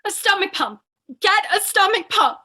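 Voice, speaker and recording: A woman playing an excitable, melodramatic young newspaper reporter in old-time radio style, with urgent, breathless exclamations. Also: very high-pitched, moderate pace, exaggerated voice. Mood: frantic. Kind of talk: old-time radio comedy thriller acting.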